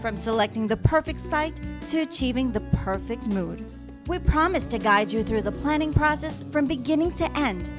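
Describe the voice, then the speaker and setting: A woman delivers scripted promotional narration as an ad announcer. Her voice sits a little high, and music plays clearly behind it. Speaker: advertisement announcer. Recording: prominent music bed under voice.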